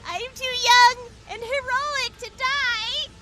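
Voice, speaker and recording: A woman playing a reckless, excitable teenage heroine with dramatic outbursts. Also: very high voice, takes it slowly, loud music behind the voice. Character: hyper, reckless teen girl hero.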